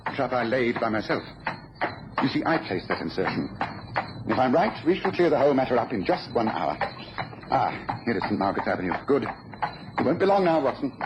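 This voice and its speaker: An actor playing a shrewd, commanding British detective in a mid-century radio drama, speaking precisely and briskly. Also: male voice, mid-range voice, sing-song, close to an everyday voice. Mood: confident, explanatory. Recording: muffled, noisy old radio recording.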